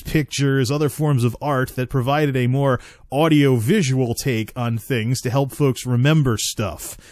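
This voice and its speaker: A man's voice: mid-range voice, dry recording, clean studio sound. A man hosting a casual spoken commentary, talking in a chatty, rambling way with asides and restarts. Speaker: host.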